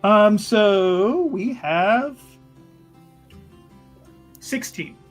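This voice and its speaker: A man's voice: sits high, lively intonation, very slow, soft background music. A man playing in a tabletop roleplaying game, improvising in character with a hesitant, roundabout, repetitive way of talking. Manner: hesitant, repeats words, roundabout.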